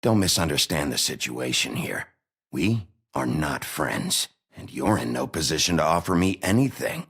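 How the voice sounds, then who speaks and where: A hard-boiled video game sheriff. His voice is very low and full of gravel, with wide swings in pitch. He is gruff and blunt, a brooding lawman. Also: sing-song, moderate pace, a bit bright, exaggerated voice. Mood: cold, defiant. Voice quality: gravelly, husky. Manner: blunt, confrontational. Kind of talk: dramatic video game acting.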